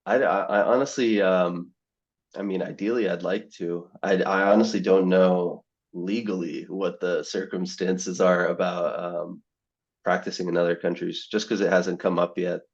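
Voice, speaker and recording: A man's voice: sits low, steady tone, moderate pace, highs cut off. A young man, a medical student, answering interview questions in a rambling way, full of "you know" and restarts. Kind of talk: casual podcast interview.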